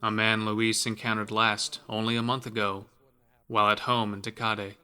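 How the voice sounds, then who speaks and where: A man's voice: lowish voice, little pitch movement, normal speed, heavy background noise. A man narrating a scripted horror story as the narrator of an audio drama.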